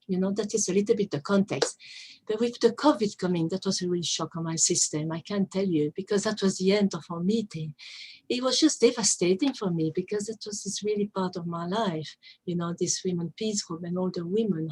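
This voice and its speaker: Husky middle-aged woman with Belgian French accent. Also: mid-range voice, a little mellow, poor audio. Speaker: coordinator of a women's peace group. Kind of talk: personal account at a virtual community event.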